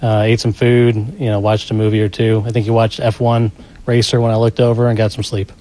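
A man's voice: lowish voice, flat delivery, brisk, mellow. A man giving a plainspoken, somewhat hesitant account in an interview as a law enforcement agent. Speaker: FBI special agent being interviewed. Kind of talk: interview recollection.

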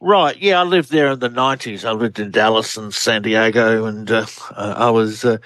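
An Australian man in his seventies talks as a guest researcher on a radio interview. He is enthusiastic but halting, often starting a phrase again. His voice is recorded close and dry. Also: lowish voice, a bit bright, no echo. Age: 70s.

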